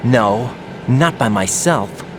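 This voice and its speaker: An actor playing an earnest but unsure young special operative in a dramatic video game. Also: male voice, medium pitch, lively intonation, slow pace, noisy background. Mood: frustrated.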